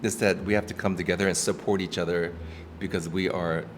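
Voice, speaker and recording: Low-voiced guy talking earnest in a restaurant, lots of ums and restarts, echoey with chatter noise behind. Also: steady tone, fairly quick. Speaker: actor and activist guest. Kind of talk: casual interview talk. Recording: roomy reverb with background noise.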